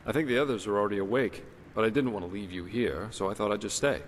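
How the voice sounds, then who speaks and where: A man's voice: fairly low pitch, quick pace, lightly acted. An actor playing an offhand, conversational male companion in a fantasy game. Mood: friendly, casual. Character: casual, friendly-seeming male companion turning contemptuous. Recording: reverberant hall with faint noise.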